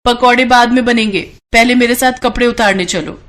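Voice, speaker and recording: A woman's voice: a little high, brisk, fairly bright tone, natural voice. A woman as harried, caring young housewife. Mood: brisk. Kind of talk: Hindi cartoon moral story acting.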